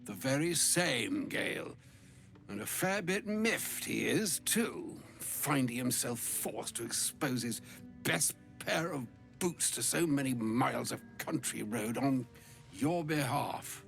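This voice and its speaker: An actor playing an ancient, pompous, grumbling old wizard in a video game, with ornate archaic speech. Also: male voice, medium pitch, lively intonation, slow pace, creaky, a bit bright, faint music behind, heavily acted. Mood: miffed, theatrical. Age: older. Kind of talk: video game character acting.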